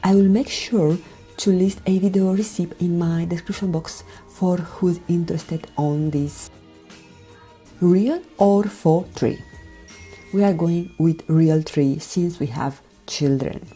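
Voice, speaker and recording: A woman from Romania with a Romanian accent, speaking a gentle, careful voiceover for her makeup tutorial, often dropping near a whisper. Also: on the low side, steady tone, takes it slowly. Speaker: beauty vlogger. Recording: voiceover with background music.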